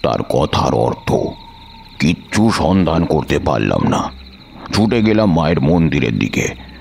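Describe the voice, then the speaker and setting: A man narrating a suspense story in a measured, literary and dramatic manner. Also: rumbling low, very animated, creaky, a little mellow, a little hiss or hum. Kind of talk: dramatic scripted first-person story narration. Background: background music.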